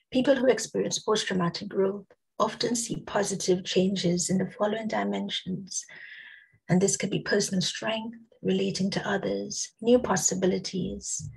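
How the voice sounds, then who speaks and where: A woman giving a prepared talk on a video call, losing her voice and clearing her throat, measured and listing points with frequent ums. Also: medium pitch, low-quality recording. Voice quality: husky, gravelly. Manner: measured, reads out lists with many ums. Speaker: guest speaker. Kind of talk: prepared talk at an online summit.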